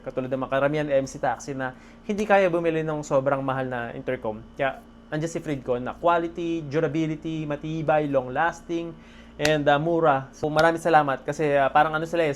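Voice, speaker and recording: A man with a Filipino accent vlogging casually about his day, speaking in an excitable, appreciative way. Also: fairly high pitch. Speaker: motorcycle vlogger. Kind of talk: casual vlog talk to camera. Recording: noisy background ambience.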